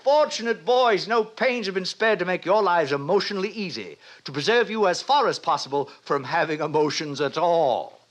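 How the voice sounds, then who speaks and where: An actor playing a brisk, self-satisfied director who lectures young students pompously in 1950s radio drama style. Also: male voice, high-pitched, natural voice. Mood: grandly self-satisfied. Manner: pompous, didactic, declamatory. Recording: vintage 1950s radio recording.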